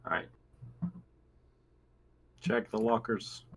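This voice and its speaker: A man's voice: on the low side, level tone, takes it slowly, husky. A man playing a tabletop roleplaying game, putting in brief casual remarks.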